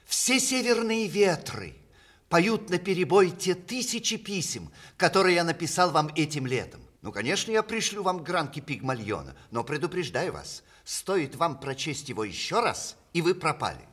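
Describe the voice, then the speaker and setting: An actor in a 1960s Russian radio play, playing a witty, vain playwright with ironic, declamatory, precise diction. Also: male voice, a little high, very animated, normal speed, a bit bright, husky, heavily acted. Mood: playful, boastful. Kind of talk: 1960s Soviet radio theatre acting.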